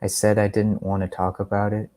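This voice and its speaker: A moody young man read by a man speaks curtly in short story dialogue. His voice is deep, with an aloof, brooding, slightly pretentious air. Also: completely flat delivery, a little fast, dark tone, no room sound, studio quality, lightly acted. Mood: curt, guarded.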